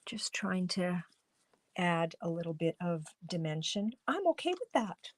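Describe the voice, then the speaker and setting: Woman host of a live craft stream, slightly hoarse, slow and chatty, greets viewers as she works. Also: lowish voice, big pitch swings, dry recording. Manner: chatty, thinks aloud while coloring, greets viewers by name. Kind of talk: casual live crafting demo talking to viewers.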